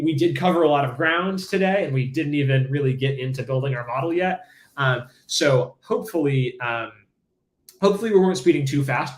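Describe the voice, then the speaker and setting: A man's voice: medium pitch, echoey room. A man teaching a live-streamed coding lesson, casual and enthusiastic, with many fillers and restarts. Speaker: livestream coding instructor.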